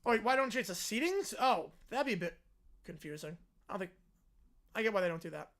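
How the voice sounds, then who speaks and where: A man hosting a live sports reaction stream, chatting casually and answering viewers, his voice hoarse and worn out. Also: high voice, animated, fast talker, fairly bright tone. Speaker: livestream host.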